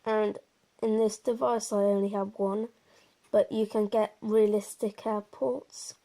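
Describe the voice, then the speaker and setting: A woman's voice: medium pitch, little pitch movement, measured pace, mellow. A woman giving a casual gameplay commentary, explaining things in an informal, meandering and slightly hesitant way. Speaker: presenter of a game walkthrough.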